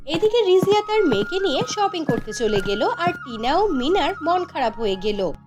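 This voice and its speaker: High, animated woman storyteller. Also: moderate pace. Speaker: narrator. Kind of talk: scripted fairy-tale cartoon narration. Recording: music behind the voice. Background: background music.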